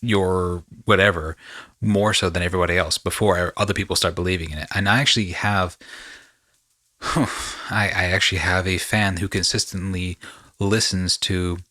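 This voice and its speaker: A man hosting a podcast in casual conversation, thinking aloud in a halting way with repeats. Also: low-pitched, fairly even intonation, sharp tone, dry recording, studio quality. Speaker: podcast host and actor.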